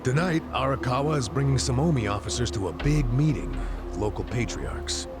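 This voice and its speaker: An actor playing a sly, cynical middle-aged ex-detective in a video game cutscene dub, with a teasing, wry manner. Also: male voice, medium pitch, lively intonation, fairly quick, close to an everyday voice. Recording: game cutscene with loud music.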